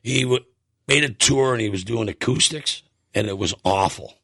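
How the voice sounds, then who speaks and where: A middle-aged man co-hosting a radio show, slightly hoarse, telling rambling stories in casual chat. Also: medium pitch, moderate pace, pushed and bright, dry recording, clean studio sound. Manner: rambling, laughing storyteller. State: voice a little hoarse. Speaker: radio co-host.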